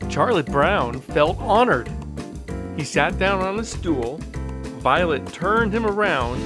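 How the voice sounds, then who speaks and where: Children's storybook narrator, middling pitch, scripted read-along delivery, music playing clearly behind. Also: big pitch swings, takes it slowly. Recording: music bed under the voice.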